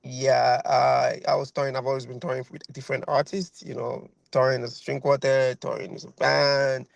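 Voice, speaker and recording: A man's voice: medium pitch, flat delivery, moderate pace, gravelly, no echo. A man in his thirties with a Nigerian accent, a guest violinist chatting over a video call, rambling with lots of fillers and repeated phrases. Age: 30s.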